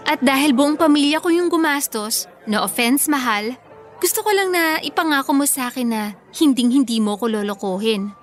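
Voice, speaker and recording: Casting: a high-pitched, tender, nervous Filipino bride for radio drama, music bed. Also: female voice, lively intonation, normal speed. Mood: affectionate. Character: happy, anxious young pregnant bride, sweetly affectionate.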